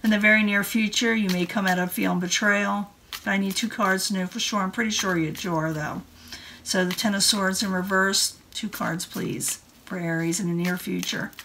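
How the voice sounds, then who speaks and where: A woman's voice: mid-range voice, fairly even intonation, normal speed, pushed and bright, a little hiss or hum, rough recording. A woman giving a casual tarot card reading in an informal, rambling way. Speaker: tarot reader. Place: indoor room at a table.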